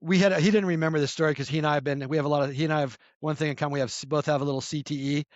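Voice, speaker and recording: Guest spot: raspy fifties man, rapid rambling storyteller, dry dull sound. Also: fairly high pitch, fairly even intonation, no room sound. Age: 50s. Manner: rambling, many restarts and self-corrections. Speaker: podcast guest. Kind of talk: casual storytelling chat.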